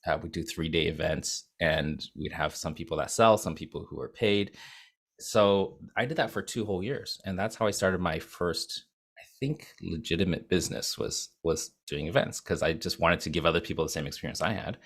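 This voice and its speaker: A man's voice: low voice, moderate pace, vocal fry, a bit bright, husky. A man from Japan who speaks with an American accent, telling his story as an interview guest in a rambling way with many fillers and restarts. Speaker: guest, video agency founder.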